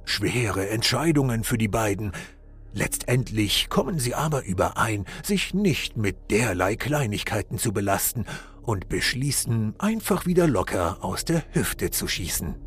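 A man's voice: fairly low pitch, sing-song, unhurried. A man reading tongue-in-cheek scripted narration as the narrator of a podcast intro.

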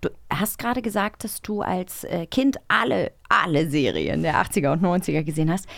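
A woman's voice: on the low side, animated, fairly quick, gravelly, dry recording. A woman from East Germany co-hosting a podcast in casual conversation in a studio. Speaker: podcast co-host. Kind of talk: casual chat. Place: recording studio.

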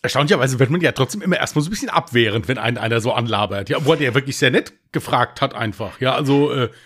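A man's voice: medium pitch, lively intonation, quick pace, fairly bright tone. A young man co-hosting a casual podcast, telling rambling stories and laughing at his own jokes. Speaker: podcast co-host. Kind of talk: casual chat, anecdote telling.

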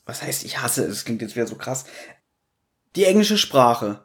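A man's voice: mid-range voice, sing-song, moderate pace. A man chatting casually and jokingly as a podcast co-host, sometimes quoting others or going through his notes. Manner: joking, mimics others in mock quotes, reads out notes.

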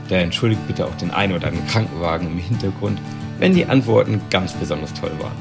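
A man's voice: sits low, vocal fry. A man hosting a podcast, reading an upbeat scripted introduction. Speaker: podcast host.

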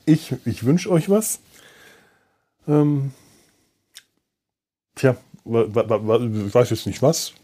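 A man's voice: medium pitch, expressive, takes it slowly, long pauses, dark tone. A man hosting a podcast in a casual, rambling solo monologue, hesitant and thinking aloud, with a wry, self-deprecating manner. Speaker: podcast host. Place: indoors on a sofa.

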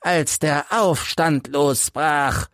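A man's voice: on the high side, very slow, a bit bright, no room sound, clean studio sound. Man acting out a bitter, crippled old soldier. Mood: wistful. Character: embittered hunchbacked old ex-soldier telling his life story.

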